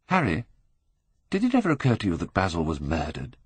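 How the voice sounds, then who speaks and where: A man voicing a weary, brooding younger Victorian gentleman in an audiobook, restrained and probing, with a British accent. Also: low voice, wildly swooping pitch, brisk, breathy edge, no echo, exaggerated voice. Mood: sad, probing.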